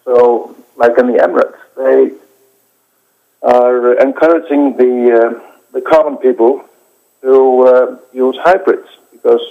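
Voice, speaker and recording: A man answers interview questions as a documentary director, stopping often and restarting his sentences. His voice has a gravelly, creaky edge at a middling pitch. The recording is dull and lo-fi. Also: fairly even intonation. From Iceland. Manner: halting, many hesitations and restarts.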